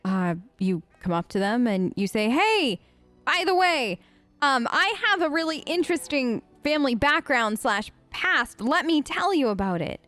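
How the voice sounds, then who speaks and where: A woman plays through a game, improvising replies in character in her own casual voice. It is fairly high, and she is chatty, rambling and playful, with music behind her. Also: big pitch swings, unhurried, a bit bright, no echo. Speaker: gaming let's-player. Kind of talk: casual improvised role-play commentary.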